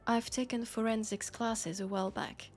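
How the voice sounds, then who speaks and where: A woman with a French accent voicing a sharp, scolding but caring young policewoman in an intimate sci-fi roleplay audio drama. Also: fairly high pitch, fairly even intonation, moderate pace, faint music behind, close to an everyday voice. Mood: probing, confident.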